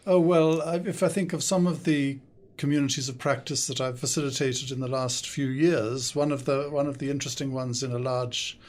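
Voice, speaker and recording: An older man born in South Africa and raised in the UK, speaking with an English accent, answering interview questions in a studio in a thoughtful, measured way with frequent hesitations. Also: mid-range voice, fairly even intonation. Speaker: guest, consultant and coach. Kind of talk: podcast interview.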